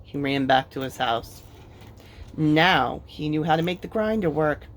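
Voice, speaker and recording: A woman narrates a children's storybook. Her delivery is very unhurried, in a slightly low voice. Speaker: storybook reader.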